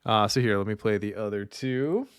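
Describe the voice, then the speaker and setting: A man hosting a podcast in casual chat, animated and playfully opinionated. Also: fairly low pitch, moderate pace, slight background noise. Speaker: podcast host.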